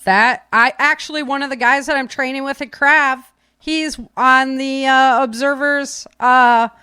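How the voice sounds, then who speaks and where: A woman's voice: high-pitched, steady tone, measured pace, sharp tone, clean tone, no room sound. Casual, rambling woman podcast host. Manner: informal, filler-heavy, rambling. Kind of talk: casual chat to live listeners. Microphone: webcam stream setup, set back from keyboard.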